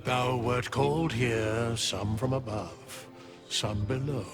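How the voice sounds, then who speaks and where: A man's voice: medium pitch, steady tone, takes it slowly, music in the background. A man voicing an ancient, solemn undead sage in a fantasy game, making grave, archaic, portentous pronouncements. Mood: solemn, commanding.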